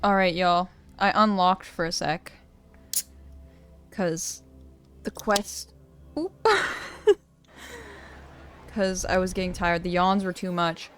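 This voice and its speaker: A woman's voice: fairly low pitch, level tone, slow, long pauses, fairly bright tone. Chatty young woman gamer. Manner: chatty, playful. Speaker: gaming host. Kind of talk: casual let's play commentary, reading story text aloud. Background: faint game music.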